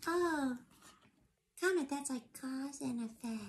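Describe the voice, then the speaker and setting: A woman's voice: on the high side, measured pace, mellow. A woman chatting casually and encouragingly with a child during storytime, with short reacting exclamations. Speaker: co-host listening to a read-aloud. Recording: noisy home room recording.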